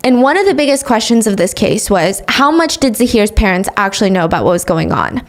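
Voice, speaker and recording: A woman's voice: medium pitch, lively intonation, brisk. A woman hosting a podcast, retelling a true crime case in casual chat with sarcastic asides. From grew up speaking English, also Korean. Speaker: podcast host.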